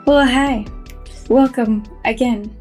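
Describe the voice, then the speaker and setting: A woman's voice: fairly high pitch, steady tone, takes it slowly, a little mellow, faint music behind. A young woman co-hosting a podcast, chatting casually and enthusiastically, often laughing. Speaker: podcast co-host. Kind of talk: casual chat.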